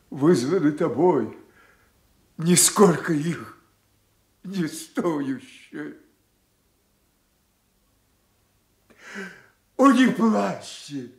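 A wrathful, slow-speaking old king. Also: a little high, heavily acted. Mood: tearful fury. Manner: declamatory, swinging from hushed grief to thunderous shouting. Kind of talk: classical stage tragedy acting in a radio play. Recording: echoey Soviet radio theatre recording.

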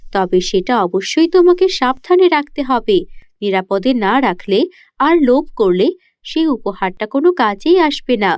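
A woman's voice: high-pitched, a little mellow, no echo, clean studio sound. A woman voicing a protective, stern forest fairy in a children's cartoon story, commanding yet caring.

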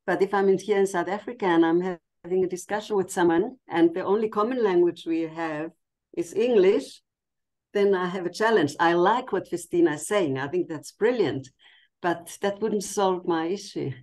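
A woman's voice: on the low side, moderate pace, darkish tone. A woman in her sixties whose first language is Finnish, chatting candidly on a video call and making light of herself. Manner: candid, self-deprecating, laughs easily. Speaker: meeting participant. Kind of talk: casual video call chat. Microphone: webcam video call.

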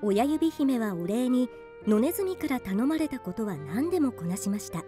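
A woman's voice: on the high side, very animated, normal speed, over music. A woman narrating a fairytale radio drama in scripted storybook style.

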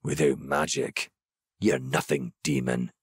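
A man's voice: very deep voice, steady tone, takes it slowly, slightly rough, dry recording, studio quality, pitched below the performer's own voice, full character voice. A man voicing a vengeful, self-righteous monster hunter with a taunting manner in a dramatic audiobook.

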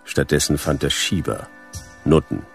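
Casting: hard-boiled radio-play detective, rock-bottom pitch, gravelly, laconic and deadpan. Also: male voice, measured pace, soft background music, heavily acted. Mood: dry, brooding. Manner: terse, deadpan. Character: laconic, world-weary male private detective. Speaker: detective and narrator.

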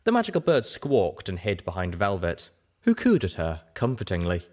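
A man reads a story aloud as an audiobook narrator. His voice is on the low side and moves widely in pitch, giving the scripted prose a dramatic shape. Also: huge pitch swings, moderate pace, a little mellow.

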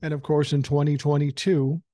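Sixties male podcast host with a cold, blunt and profane. Mellow voice, dry close-mic sound. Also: on the high side, level tone, normal speed, no room sound. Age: 60s. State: has a common cold. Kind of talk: casual political commentary monologue. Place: home room with a couch behind him. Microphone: large foam-covered dynamic mic close to the mouth.

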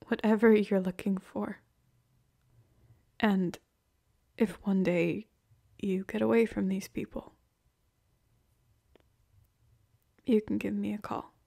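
A young woman playing a hurt, heartbroken girlfriend in an emotional, halting ASMR roleplay monologue. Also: medium pitch, creaky. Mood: resigned, heartbroken.

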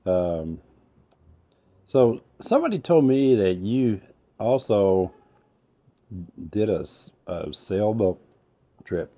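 A man's voice: lowish voice, big pitch swings, takes it slowly, pauses often, mellow. An older man hosting a casual interview on an outdoor patio, rambling and restarting his sentences, recorded from a camera at a distance. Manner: rambling, repeats and restarts himself. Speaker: host. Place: covered outdoor patio by a fireplace.

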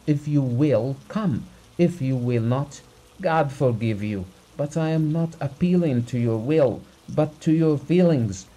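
A man voicing a gentleman who begs a doctor for help in an imploring, flowery, stilted storybook manner. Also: mid-range voice, expressive, unhurried, hardly any pauses, pitched above the performer's own voice, rough recording. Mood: insistent, emotional. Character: well-off gentleman desperately begging a doctor for help.

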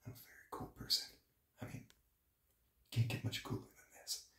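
A man in his early twenties, sitting in cold water, talking to himself in halting, breathy whispers while he shivers. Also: fairly bright tone, recorded from a distance. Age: early 20s. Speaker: vlogger. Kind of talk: shivering self-talk vlog. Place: small bathroom, sitting in a bathtub. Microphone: phone camera held close. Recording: echoey bathroom, noisy phone audio.

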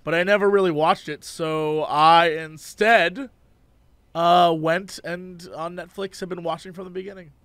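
A man co-hosting a casual podcast, hoarse from losing his voice, joking around and breaking into silly sung bits. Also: high-pitched, steady tone, a little slow, no echo. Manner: goofy, sings and shouts bits, jokey asides. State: hoarse, lost voice. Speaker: podcast co-host.

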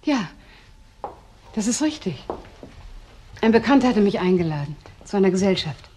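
An actress playing a proud, trusting wife in a crime drama, speaking in husky, halting, deliberate phrases. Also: female voice, medium pitch, very animated, warm tone, slight background noise, close to an everyday voice. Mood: defiant, loving. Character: proud, trusting wife defending her suspected husband. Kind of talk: German TV crime drama dialogue.

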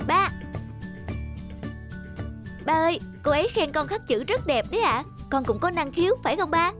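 A woman voicing an eager, polite young boy in an animated moral story, earnest and pleading in manner. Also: very high voice, normal speed, soft background music, voice pushed up. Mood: happy, eager.

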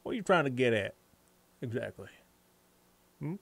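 A man's voice: medium pitch, sing-song, normal speed, vocal fry. A man livestreaming a game in casual, rambling chat, repeating phrases and swearing freely, with a put-on folksy hillbilly drawl.

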